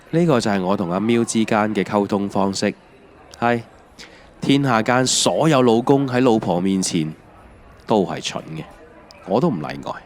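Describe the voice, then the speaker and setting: A middle-aged man with a Hong Kong Cantonese accent, playing a mild husband who narrates an audio drama in a reflective, unhurried way. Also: lowish voice, a little mellow, lightly acted. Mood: wry. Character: mild, bumbling husband narrating his family's emigration. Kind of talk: scripted first-person narration in an audio drama.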